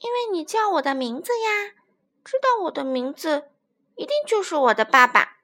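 A woman's voice: high-pitched, big pitch swings, normal speed, bright, forceful tone, voice pushed up, heavily acted. A woman voicing an innocent, adoring baby ankylosaurus boy in a storybook, childlike, exclaiming and pleading. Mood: cheerful, eager.

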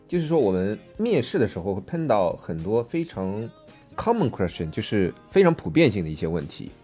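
A man's voice: fairly low pitch, big pitch swings, brisk, dark tone, highs cut off, soft background music. A man with a Mandarin Chinese accent co-hosting a podcast English lesson in a joking, bantering way. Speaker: podcast co-host teaching English. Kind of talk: bantering bilingual lesson chat.

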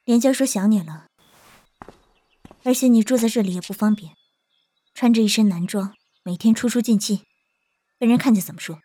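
A woman's voice: fairly high pitch, moderate pace, long pauses, mellow, natural voice. A woman acting a cool, commanding young woman, curt and aloof, in a Chinese costume audio drama. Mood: cool, insistent.

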